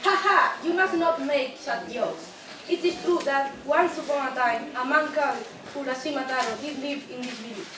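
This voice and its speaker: High-voiced scoffing villager played by a woman. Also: animated, moderate pace. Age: young adult. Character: mocking, matter-of-fact village passer-by, man. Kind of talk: scripted puppet show acting. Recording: far-off echoey room with noise.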